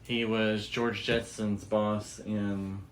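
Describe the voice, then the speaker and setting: A man's voice: lowish voice, level tone, very slow, slight background noise. A man hosting a casual talk show, explaining things in a conversational, enthusiastic way. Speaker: podcast host. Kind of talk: casual chat about radio history.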